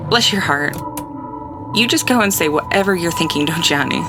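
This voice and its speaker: A woman's voice: lowish voice, animated, a little fast, loud music behind the voice, close to an everyday voice. A woman playing a sweet-talking, sassy, folksy storyteller in a space audio drama. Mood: sweetly sassy. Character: Rosie, sugary, folksy woman spinning a starship legend.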